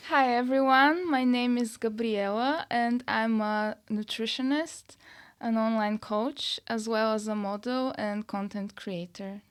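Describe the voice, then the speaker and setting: Female podcast guest, thirties, smooth clear voice, unhurried, measured answers. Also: a little high. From Bulgaria. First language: Bulgarian. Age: 30s. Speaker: podcast guest, nutritionist and online coach. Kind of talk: casual interview answers.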